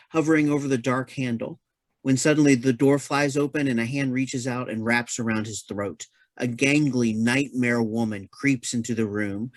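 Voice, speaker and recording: A man's voice: medium pitch, no room sound. A man reading stage directions as scripted narration for a play.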